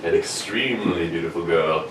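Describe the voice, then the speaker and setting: A man playing a genial, slightly flustered older gentleman doctor telling stories in a radio drama read-through. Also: sits low, moderate pace, natural voice. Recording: roomy, distant mic, noisy home recording.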